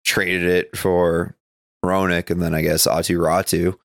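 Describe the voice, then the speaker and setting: Man, lowish voice, podcast co-host, casual sports chat, rambling analysis, dry studio-like sound. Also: flat delivery, unhurried, creaky, fairly bright tone, no room sound.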